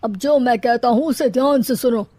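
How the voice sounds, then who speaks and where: Woman doing a flustered, high-pitched henpecked father-in-law. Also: moderate pace, mellow, dry recording, lightly acted. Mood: alarmed. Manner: flustered, dramatic. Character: nervous, henpecked middle-aged father-in-law, cowardly and fawning.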